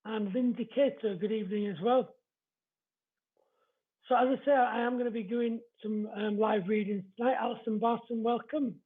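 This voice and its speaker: A man with an East Yorkshire accent chatting casually and politely as he welcomes viewers to a live mediumship show he hosts. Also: high-pitched. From East Yorkshire, England. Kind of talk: casual livestream chat greeting viewers. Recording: dull, lo-fi livestream audio.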